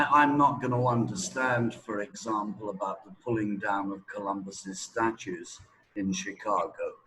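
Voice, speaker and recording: Slow-paced man teaching, with noise behind. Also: medium pitch, poor audio. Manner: didactic, asks check questions. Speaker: English teacher. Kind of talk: explanatory teaching talk to a learner.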